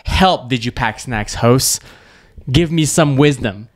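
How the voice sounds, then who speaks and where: A man's voice: mid-range voice, slow, no room sound. A man in his thirties from Malaysia, co-hosting a podcast in a casual, playful chat into a tabletop microphone. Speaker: podcast co-host. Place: small indoor room at a table. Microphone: tabletop podcast microphone on stand.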